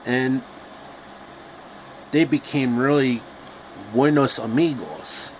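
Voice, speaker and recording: A man reading a story aloud in an amateur, halting and deliberate way. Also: medium pitch, darkish tone, a little hiss or hum, low-quality recording. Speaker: storyteller, reader.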